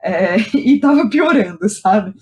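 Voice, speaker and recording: Give a Brazilian woman with a medium-pitched voice, speaking as an interview guest. She tells personal stories candidly and informally, leaning on slang and checking in with little tag questions. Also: lively intonation, takes it slowly. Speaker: guest, playwright and director. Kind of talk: casual personal storytelling in a podcast interview.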